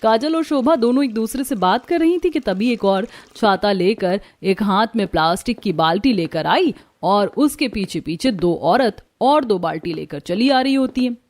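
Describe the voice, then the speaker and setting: A woman's voice: medium pitch, a little mellow, no room sound. A woman reading scripted narration for a cartoon family story.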